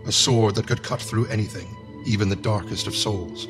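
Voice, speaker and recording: A man narrating a scripted fantasy game story in a measured, solemn storytelling manner. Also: fairly low pitch, fairly even intonation, music in the background, lightly acted.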